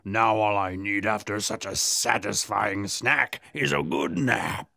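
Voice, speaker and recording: A woman voicing a sly, greedy male wolf in a children's storybook, raspy and drawling in a gloating, theatrical way. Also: rumbling low, takes it slowly, pushed and bright, no room sound, studio quality, exaggerated voice. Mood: smug, satisfied. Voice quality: raspy, gravelly. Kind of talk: children's storybook audio acting.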